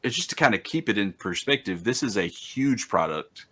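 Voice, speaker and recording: A man in his thirties hosting an interview over a video call, halting and repeating words as he affirms his guest. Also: lowish voice, animated, pushed and bright. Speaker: host. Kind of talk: casual interview talk.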